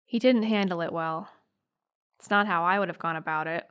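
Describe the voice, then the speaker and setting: A woman acting a thoughtful, forgiving teenage girl who gives measured testimony in an audio drama. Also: lowish voice, smooth, muffled recording, lightly acted. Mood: understanding. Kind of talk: audio drama monologue, police interview testimony.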